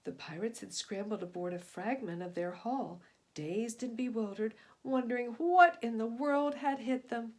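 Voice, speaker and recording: A woman reading a children's story aloud in an expressive, emphatic style, moving between hushed and booming delivery. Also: normal speed. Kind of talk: expressive scripted storybook narration.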